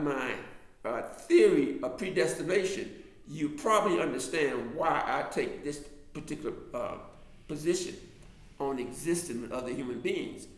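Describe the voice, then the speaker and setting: Older southern guy lecturing a class, rambles and restarts a lot, voice sits a bit high. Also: roomy sound, low-quality recording. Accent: mild Southern American. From Alabama, US South. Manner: digressive, many restarts and fillers. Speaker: author and lecturer.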